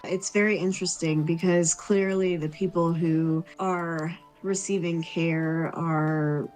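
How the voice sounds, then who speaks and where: A woman playing a tabletop game, casually thinking aloud about her character in a reflective, halting way. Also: on the low side, fairly even intonation, darkish tone, poor audio. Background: background music.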